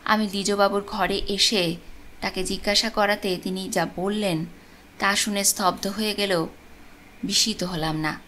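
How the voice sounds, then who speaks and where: A woman narrating a supernatural tale as a storyteller, with expressive, deliberate phrasing. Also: medium pitch. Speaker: storyteller, channel host. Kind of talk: dramatic audiobook narration of a ghost story. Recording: clear background noise and ambience.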